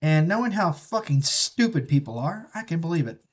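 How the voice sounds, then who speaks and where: A man's voice: on the high side, very animated, normal speed, lo-fi sound. A man chatting casually on a live stream, rambling and profane as he talks. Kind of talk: casual rambling chat while building a kit.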